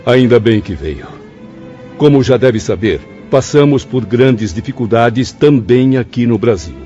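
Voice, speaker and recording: Man acting a mellow, solemn, questioning spirit. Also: medium pitch, expressive, measured pace, lightly acted. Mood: doubtful, weary. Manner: solemn, formal. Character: Belarmino, sober, thoughtful, questioning male spirit. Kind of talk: Brazilian radio drama acting. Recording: slightly dull audio, music behind.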